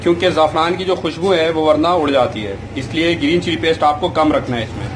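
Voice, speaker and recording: A male cooking host with a somewhat high voice walks through a recipe in instructional steps. He drops English kitchen terms into his sentences. There is distinct ambient noise behind his speech. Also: quick pace. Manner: mixes English kitchen words into Hindi.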